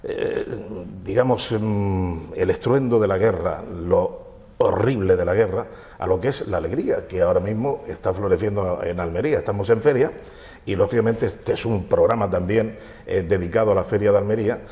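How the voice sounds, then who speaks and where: A man's voice: fairly low pitch, moderate pace, warm tone, big-room sound. A man hosting a TV interview, asking questions hesitantly with many fillers. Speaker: TV interviewer host. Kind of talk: TV interview conversation. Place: local TV studio.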